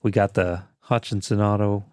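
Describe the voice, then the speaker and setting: Low-voiced man chatting sports. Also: steady tone, moderate pace, a little mellow, no room sound, clean studio sound. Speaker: podcast co-host. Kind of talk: casual sports chat.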